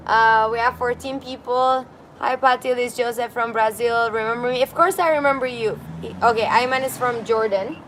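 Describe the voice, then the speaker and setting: Woman host, casual and giggly, higher pitch, outdoor street noise in the background. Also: fairly even intonation, moderate pace, fairly bright tone. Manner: chatty, giggly, reads comments aloud. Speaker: livestream host. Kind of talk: casual livestream chat. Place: apartment balcony by a busy street. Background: street traffic.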